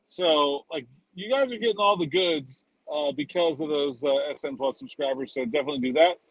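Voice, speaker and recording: A man's voice: fairly high pitch, brisk, no echo, poor audio. A man chatting casually as a sports reporter and podcast co-host, wandering into conversational asides.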